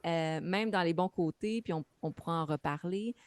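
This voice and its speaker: Woman, low voice, practitioner, explanatory, many fillers, dry close sound. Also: normal speed, clear, resonant tone, dry recording. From Quebec. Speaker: mental health practitioner on a panel. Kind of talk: webinar talk.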